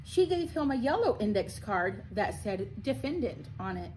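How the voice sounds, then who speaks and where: A woman's voice: mid-range voice, sing-song, normal speed, a little mellow, slight background noise, poor audio. A woman reading a children's story aloud expressively, like a school librarian at storytime.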